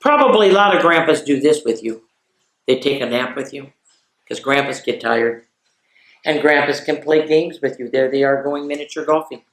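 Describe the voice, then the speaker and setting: A woman's voice: rumbling low, moderate pace. A woman hosting a children's story time, reading picture books aloud and adding chatty little asides. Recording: echoey, roomy sound.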